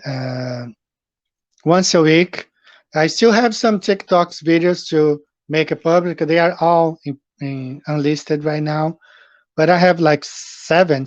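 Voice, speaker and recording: Brazilian-accented male streamer, middle-aged, higher voice, dry dull sound, loose rambling delivery. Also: no room sound. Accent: Brazilian Portuguese. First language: Portuguese. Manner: rambling, with frequent fillers and questions to viewers. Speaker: live stream host. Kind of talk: casual live stream chat with viewers. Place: home, in Japan.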